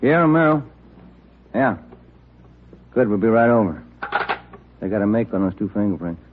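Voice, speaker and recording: An actor playing a practical, plain-spoken police detective partner in an old radio drama, matter-of-fact in manner. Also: male voice, lowish voice, expressive, moderate pace, lightly acted. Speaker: detective, the sidekick. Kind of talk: 1940s-50s radio drama, naturalistic police dialogue. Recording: tinny, noisy old radio recording.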